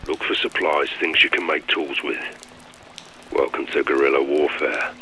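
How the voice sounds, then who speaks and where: A man voicing a grim, battle-hardened British special forces lieutenant in a video game, terse and deadpan over radio. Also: rumbling low, normal speed. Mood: terse, instructive. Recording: noisy radio with rain and ambience.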